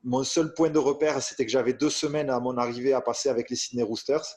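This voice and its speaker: A man's voice: medium pitch, fairly even intonation, fast talker, darkish tone. A French man in his thirties, a strength coach, telling his career story as a podcast guest, with frequent hesitations, fillers and restarts. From France. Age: 30s. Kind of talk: casual interview storytelling.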